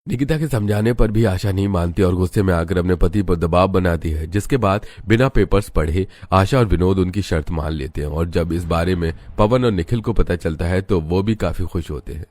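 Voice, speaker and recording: A man's voice: deep voice, fairly quick, few pauses, a little mellow, no echo. A man reading scripted narration for a family cartoon story. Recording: music bed behind the voice.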